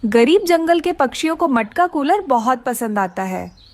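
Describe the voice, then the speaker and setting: A woman's voice: sits high, sing-song, a little slow, a little mellow, no room sound. A woman narrating a scripted children's story.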